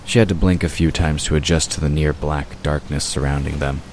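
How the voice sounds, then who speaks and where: A man's voice: a bass voice, fairly even intonation, fairly quick, darkish tone, slight background noise. A man narrating a scripted audio drama as its storyteller.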